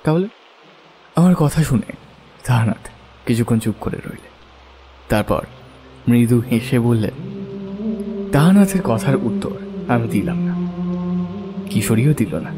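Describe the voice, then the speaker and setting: A man's voice: mid-range voice, expressive, measured pace, pauses often, over music. A man narrating a scripted horror audio story in the first person.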